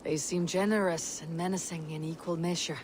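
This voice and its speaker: An actor playing a blunt, self-assured Viking warrior in a video game cutscene, speaking tersely and drily. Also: male voice, fairly high pitch, fairly even intonation, a little fast, noisy background, natural voice. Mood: blunt, intrigued.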